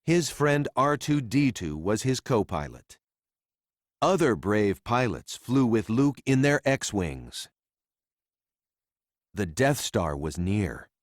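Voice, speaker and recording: Slow, animated male storybook narrator. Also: fairly low pitch, no echo, studio quality. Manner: simple, deliberate storytelling for young readers. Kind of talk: scripted children's read-along narration.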